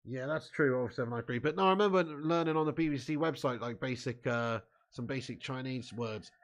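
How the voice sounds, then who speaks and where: A man's voice: mid-range voice, fairly quick. A cheeky young man with an English accent, hosting a football watch-along live stream and bantering while reading viewer comments. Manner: cheeky, banter-filled, laughs often.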